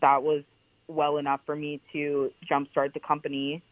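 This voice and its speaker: A woman's voice: low-pitched, completely flat delivery, normal speed, no echo. Young woman on a tinny phone line. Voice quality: powerful voice. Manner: chatty, many "like" fillers, emphatic. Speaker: guest, founder of a clothing brand. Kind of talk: casual podcast interview.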